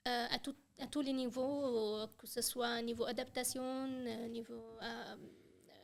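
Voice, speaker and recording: A woman's voice: a little high, level tone, a little slow, creaky. A young woman from Syria, a podcast guest talking hesitantly, with many fillers and restarts. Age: young adult. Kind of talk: casual chat.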